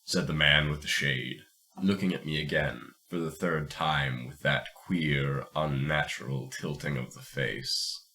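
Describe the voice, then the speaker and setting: A man's voice: rumbling low, steady tone, a little slow, bright, forceful tone. A man hosting a fiction podcast, reading a story aloud and commenting on it. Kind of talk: dramatic story narration and casual commentary.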